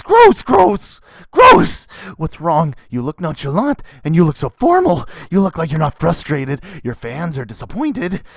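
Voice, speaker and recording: An unhinged anime racing rival. He is sneering and falsely polite, his pitch very animated, recorded close and dry. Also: no echo, exaggerated voice. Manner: mock-polite, erupting into taunts.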